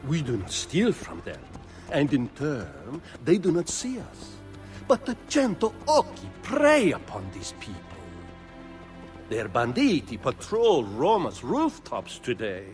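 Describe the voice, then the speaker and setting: An actor playing a streetwise, brooding guild thief in a video game, with an Italian accent. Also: male voice, medium pitch, wildly swooping pitch, slow, darkish tone, soft background music. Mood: ominous. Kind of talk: dramatic video game cutscene acting.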